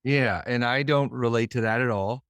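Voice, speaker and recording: A man's voice: mid-range voice, normal speed, fairly bright tone, no room sound. Rambling, thoughtful man from Boston. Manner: thoughtful, meandering, many restarts. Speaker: musician guest. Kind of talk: casual interview chat.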